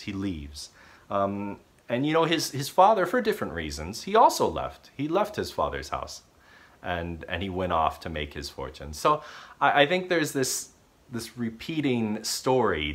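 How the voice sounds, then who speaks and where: Pastor in his thirties, lowish voice with a wide, lively range, delivering a sermon straight to a close camera in a living room. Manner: animated storytelling with expressive gestures. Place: small living room at home.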